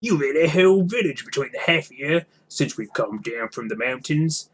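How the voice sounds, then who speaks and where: A man voicing a big, boozy, blustering but soft-hearted troll with coarse, rustic slang, for a storybook. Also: a little high, lively intonation, moderate pace, slightly rough, pitched above the performer's own voice. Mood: indignant. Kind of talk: storybook character voice.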